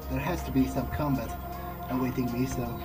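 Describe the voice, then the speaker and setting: A man's voice: medium pitch, fairly even intonation, moderate pace. A man roleplaying in character as he plays a video game, musing to himself in a hesitant, conversational way. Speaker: let's player. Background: game soundtrack music.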